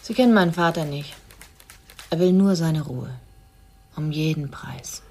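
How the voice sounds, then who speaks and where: An actress playing a cool, sceptical society woman in a German radio crime drama, speaking in a measured, pointed way. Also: sits low, darkish tone, husky, heavily acted. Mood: subdued.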